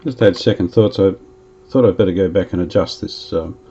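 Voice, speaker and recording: A man's voice: deep voice, brisk, mellow, husky, muffled recording. A man narrating as he restores an old radio, chatting casually and thinking aloud while he works.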